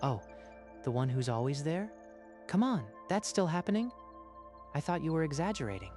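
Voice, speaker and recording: A man's voice: on the high side, big pitch swings, moderate pace, darkish tone, faint music behind. A man acting a skeptical, practical male friend in a manga dub, conversational and questioning. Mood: curious, teasing.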